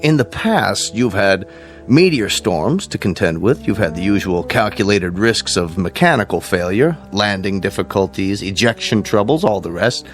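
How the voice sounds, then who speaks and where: Man acting a grave, deliberate American scientist. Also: fairly low pitch, lively intonation, lightly acted. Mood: grave, instructive. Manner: deliberate, formal, emphatic. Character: grave, paternal male space-program scientist, precise and candid. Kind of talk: radio drama acting. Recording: music behind the voice.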